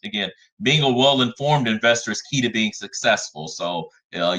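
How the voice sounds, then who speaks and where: A man in his forties, a financial advisor giving a webinar talk in a measured way, often starting with "again". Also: fairly low pitch, sharp tone, rough recording. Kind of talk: webinar presentation.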